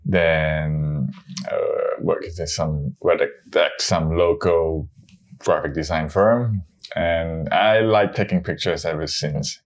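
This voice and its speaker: A middle-aged man from Hong Kong, a photojournalist speaking in an interview, halting and searching for his words with many restarts. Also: very deep voice, fairly even intonation. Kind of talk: interview, personal reflection.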